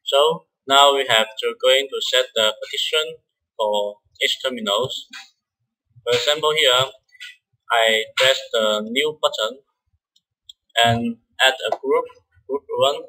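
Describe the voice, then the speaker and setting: A man with a bright, forward voice at a medium pitch walks through software step by step. He is a non-native English speaker, and his delivery is halting, with repeated words. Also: rough recording. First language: not English. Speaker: software tutorial presenter. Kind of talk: step-by-step screen-recorded software tutorial.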